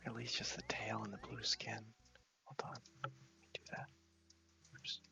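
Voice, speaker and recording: A man softly narrating his gameplay ASMR-style into a headset microphone in a casual, unhurried, rambling way, at times dropping to a whisper. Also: lowish voice, flat delivery, creaky, soft background music. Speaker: host of a gaming channel. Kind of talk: soft-spoken ASMR gameplay commentary.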